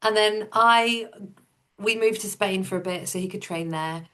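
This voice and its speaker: A woman's voice: mid-range voice, measured pace, fairly bright tone. A woman guest on a podcast, telling her own story in a casual, matter-of-fact, informal way.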